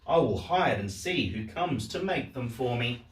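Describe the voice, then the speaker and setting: Man acting out a humble grateful shoemaker, storybook, wondering manner, swooping pitch, roomy distant sound. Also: lively intonation, unhurried, lightly acted. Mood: determined. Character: kindly, grateful poor male shoemaker in a storybook. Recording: roomy echo, distant microphone.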